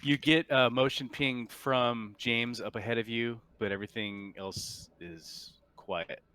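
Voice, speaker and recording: A man improvising narration as game master of a tabletop game over group voice chat, halting and often restarting his phrases. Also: medium pitch, steady tone, bright, forceful tone, clear, resonant tone.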